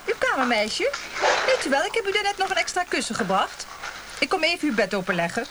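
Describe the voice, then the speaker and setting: Lively, insistent chambermaid. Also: female voice, high-pitched, quick pace. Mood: insistent, suggestive. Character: pushy, flirtatious chambermaid, brisk and suggestive. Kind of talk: radio play acting. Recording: noisy background.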